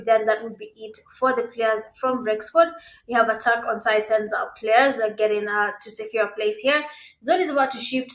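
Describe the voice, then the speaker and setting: A Kenyan woman whose first language is Swahili, giving live esports commentary in a conversational, hesitant way with pauses. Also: a little high, fairly bright tone. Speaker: esports caster. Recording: roomy, echoing sound.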